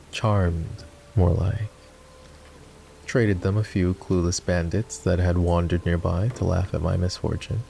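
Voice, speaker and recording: A male voice actor playing a gruff, sardonic fantasy knight in a roleplay ASMR, with a teasing, commanding manner. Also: low voice, mellow, a little hiss or hum, exaggerated voice. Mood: wry, reminiscing.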